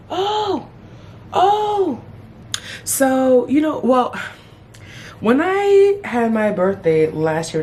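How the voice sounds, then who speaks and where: A woman in her thirties chatting casually as a beauty vlogger while doing her makeup, playful, with sudden high exclamations. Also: a little mellow, a little hiss or hum. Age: 30s. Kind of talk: casual get-ready-with-me chat.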